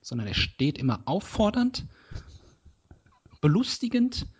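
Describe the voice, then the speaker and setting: Husky man telling jokey stories. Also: sits high, big pitch swings, measured pace, muffled recording. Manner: joking, storytelling. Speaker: podcast co-host. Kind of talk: casual chat.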